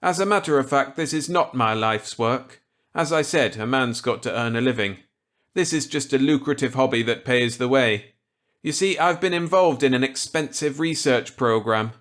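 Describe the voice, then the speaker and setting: A man's voice: mid-range voice, moderate pace, a bit bright, pitched above the performer's own voice, lightly acted. A man voicing a genial, wry, unrepentant scientist in a storybook reading, with clipped, breezy delivery. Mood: earnest, assured.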